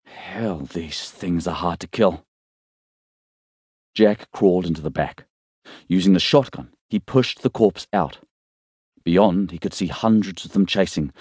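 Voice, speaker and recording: A man's voice: low voice, a little mellow, no echo, studio quality. A man reading scripted fiction as a dramatic audiobook narrator.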